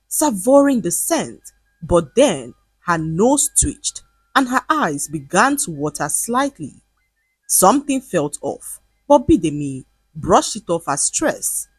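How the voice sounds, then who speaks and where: A woman narrating a scripted folktale in an expressive, dramatic storytelling manner. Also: lowish voice, breathy edge, dry recording.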